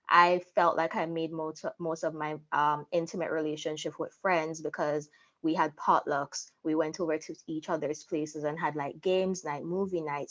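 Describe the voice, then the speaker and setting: A woman's voice: deep voice, lo-fi sound. A young adult woman telling her personal story candidly as an interview guest. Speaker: interview guest, content creator.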